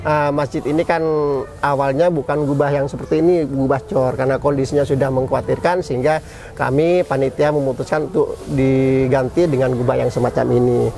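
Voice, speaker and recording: A man's voice: mid-range voice, steady tone, brisk, creaky, darkish tone, slight background noise, rough recording. A man from Indonesia answering interview questions conversationally as a committee representative, often repeating key words.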